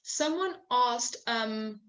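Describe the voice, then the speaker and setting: A young adult woman moderates an online discussion over a video call. She reads out audience questions hesitantly, filling pauses with ums and restarting her phrases. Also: a little high, lively intonation, poor audio. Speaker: host and moderator. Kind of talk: webinar interview.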